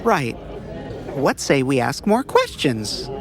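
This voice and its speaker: A woman's voice: a bass voice, sing-song, slow, crowd noise, full character voice. A woman playing a guarded, teasing government aide in a noir comedy audio drama, by turns hesitant and evasive. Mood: flustered, eager.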